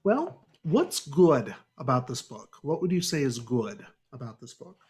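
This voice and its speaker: A man's voice: medium pitch, big pitch swings, unhurried, a little mellow. A man hosting a casual book club discussion over a video call, often chuckling and prompting others with questions. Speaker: podcast host.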